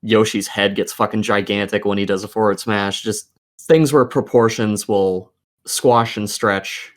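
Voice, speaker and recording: Male gaming co-host, fairly low register, opinionated and foul-mouthed in casual discussion. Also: steady tone, a little slow. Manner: profane, opinionated. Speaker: podcast co-host. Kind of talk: casual video game discussion.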